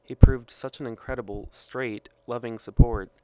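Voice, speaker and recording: A man's voice: medium pitch, fairly even intonation, moderate pace, warm tone, slight background noise, rough recording. A man reading a written personal story aloud. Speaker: reader of personal testimony.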